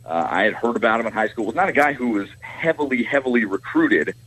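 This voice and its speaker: A man speaking as a guest on sports talk radio, explaining his points in a halting, unscripted way. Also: mid-range voice, expressive, a bit bright, slight background noise, rough recording.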